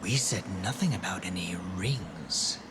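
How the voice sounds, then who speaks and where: A man playing a cold, brooding shadow knight in a fantasy game, with grim, measured delivery. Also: low-pitched, noisy background. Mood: defiant. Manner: deadpan threats, grim, measured. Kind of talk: dramatic fantasy game acting. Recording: game scene under loud music.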